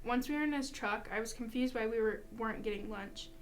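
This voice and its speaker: A woman's voice: fairly high pitch, brisk, a little hiss or hum. A young adult woman, a student, reading a personal account aloud. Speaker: student reader.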